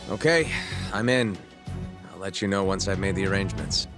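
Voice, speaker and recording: An actor playing an earnest, composed prosecutor in his thirties in a video game, speaking in a measured, formal way. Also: low voice, lightly acted. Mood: matter-of-fact. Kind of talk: video game cutscene acting. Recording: music behind the voice.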